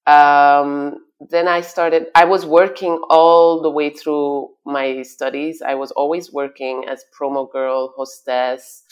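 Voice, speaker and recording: Very low-voiced slow-talking woman guest. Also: steady tone. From Belgium. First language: French. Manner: hesitant, many fillers and restarts, laughs often. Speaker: guest, stylist. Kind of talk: conversational interview.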